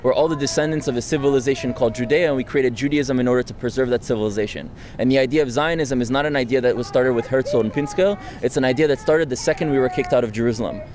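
A young man from France speaks fast and urgently in an outdoor street interview, pressing his points with a repeated "right?". His voice sits at a middle pitch and is picked up close on a handheld mic, with traffic faintly around. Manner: fast, insistent, argumentative, frequent tag "right?". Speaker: interviewee, student activist. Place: outdoors on a city campus street. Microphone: handheld mic held close by interviewer.

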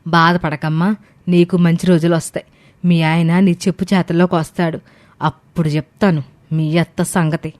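A woman plays an older mother in a family tale. She is proud and calculating, and complains stubbornly in a fairly low voice. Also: flat delivery, moderate pace, darkish tone, natural voice. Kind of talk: dramatised family moral story.

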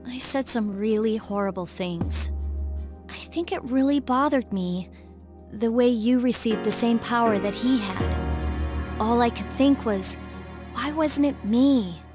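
Woman as a higher-voiced, remorseful teenage schoolgirl. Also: unhurried, music in the background, natural voice. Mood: rueful. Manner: hesitant, confessional. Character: emotional, candid teenage schoolgirl, proud but remorseful. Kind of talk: video game voice acting.

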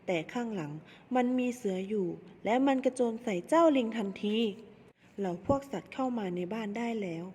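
A woman's voice: fairly high pitch, brisk, darkish tone, husky. A young woman student narrating a children's story in short, simple phrases, in a reverberant hall. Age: young adult.

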